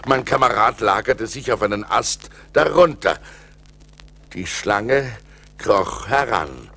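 An actor playing a shipwrecked sailor who recounts his perils in a husky, theatrical, suspenseful way, in an audio drama from a vinyl record. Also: on the low side, expressive, fairly bright tone, slight background noise, heavily acted. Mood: fearful. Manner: dramatic, suspenseful delivery with deliberate pauses. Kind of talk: theatrical radio-play storytelling narration.

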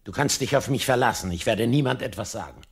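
A man's voice: mid-range voice, animated, fast talker, breathy edge, long reverb tail. A man playing a troubled, commanding king in a dramatic radio play, imperious and urgent. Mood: insistent.

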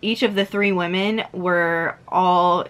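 A woman's voice: fairly low pitch, steady tone, takes it slowly, a bit bright, smooth. Chatty young woman reviewing a book. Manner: chatty, heavy use of "like", reads from notes. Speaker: book vlogger. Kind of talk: casual book review to camera. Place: indoor room with dark backdrop.

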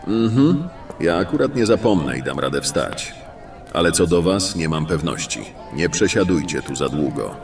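A man playing an irritable, threatening fantasy tough guy in an audio drama, gruff and curt. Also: deep voice, sing-song, moderate pace, far from the mic, music in the background. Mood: irritated.

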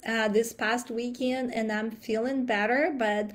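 A woman in her thirties speaks to her viewers, her fairly high voice roughened and raspy from a recent cold. She chats in a friendly, loose way, laughing and clearing her throat between thoughts. It sounds like a laptop webcam mic in a small home office. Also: fairly even intonation, unhurried. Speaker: life coach. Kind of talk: casual live stream talk.

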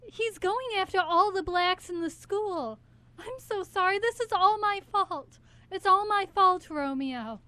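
A woman's voice: very high-pitched, expressive, unhurried, bright, forceful tone, no echo, heavily acted. A woman acting a devoted, emotional young college girl in a live amateur radio play. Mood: tender, sorry. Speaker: student radio actor.

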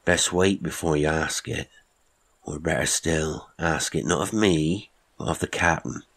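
A man's voice: very deep voice, measured pace, vocal fry, fairly bright tone, full character voice. A man doing a terse, surly old-world castaway. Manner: terse, surly, archaic phrasing. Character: small surly man long marooned, old-fashioned speech.

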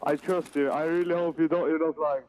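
Higher-pitched young man on game voice chat. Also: fairly even intonation, fairly quick, darkish tone, rough recording. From Sweden. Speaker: player in an online game. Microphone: gaming headset.

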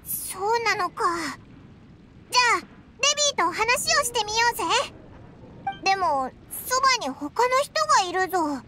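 Very high squeaky little fairy companion. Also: female voice, big pitch swings, normal speed, very noisy. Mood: inquisitive. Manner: blunt boyish casual speech, exclaiming. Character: small, squeaky, excitable floating fairy companion, brash and curious. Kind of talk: anime video game voice acting.

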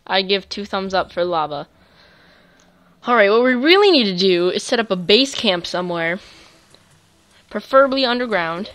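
A woman casually commentating her own gameplay for viewers, chatty and quick to get excited. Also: lowish voice. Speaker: gaming YouTuber. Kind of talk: let's play commentary.

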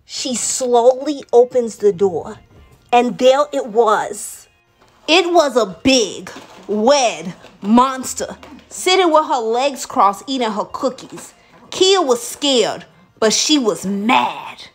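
A woman playing a mischievous little girl in a comedy skit, telling tall tales in a theatrical, drawn-out way. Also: fairly high pitch, expressive. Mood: dramatic. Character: mischievous little girl Coco, cookie-loving tall-tale teller. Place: small bedroom, indoors. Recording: roomy echo, faint music behind.

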